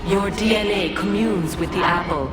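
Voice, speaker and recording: An actress playing an ancient, cryptic spirit in a video game, speaking in measured, archaic, commanding prophecy. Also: female voice, mid-range voice, lightly acted. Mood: solemn, prophetic. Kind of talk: video game cutscene acting. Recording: heavily echoing voice under loud music.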